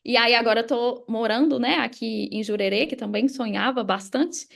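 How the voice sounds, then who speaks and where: A young Brazilian woman speaks in a high voice with noticeable vocal fry. She talks like a podcast guest sharing motivational advice, casual, conversational and emphatic. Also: fairly even intonation, normal speed. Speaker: podcast guest, digital marketing mentor. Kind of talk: casual motivational interview talk.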